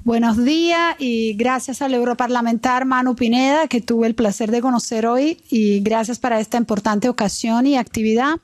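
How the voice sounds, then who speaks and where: A woman's voice: fairly high pitch, normal speed, hardly any pauses, clean studio sound. A young Italian woman speaking Spanish with a Cuban accent, giving an earnest forum speech at a desk microphone in a large meeting hall, with some hesitant restarts. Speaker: business representative speaking at a forum. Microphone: desk microphone on a stand.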